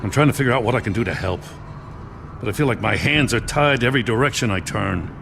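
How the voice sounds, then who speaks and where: A man's voice: mid-range voice, fast talker, vocal fry, background noise, lightly acted. An actor playing a dutiful middle-aged male police lieutenant in a video game, earnest and frustrated. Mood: weary, troubled. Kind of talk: video game dramatic acting. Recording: music behind the voice.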